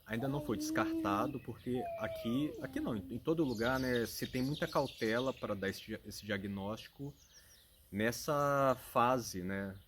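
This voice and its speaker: Brazilian man, father vlogger, earnest and searching, middle pitch, noisy home recording. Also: sing-song, normal speed. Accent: Brazilian Portuguese. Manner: thoughtful, earnest, searching for words. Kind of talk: casual explanatory talk.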